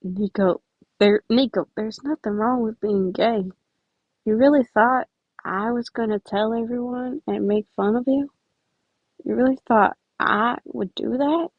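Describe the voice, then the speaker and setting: Woman playing an earnest, caring teenage boy, fairly high voice. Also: measured pace, no echo, lo-fi sound. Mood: soft, earnest. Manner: exasperated, earnest, pleading. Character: stern but caring popular teenage boy.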